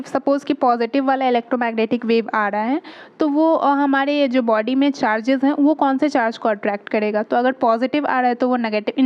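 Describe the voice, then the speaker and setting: High-pitched Indian woman teaching. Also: clean tone, muffled recording. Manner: didactic, asks and answers own questions; code-mixes English technical terms. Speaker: physiotherapy teacher. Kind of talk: explanatory lesson in simple steps.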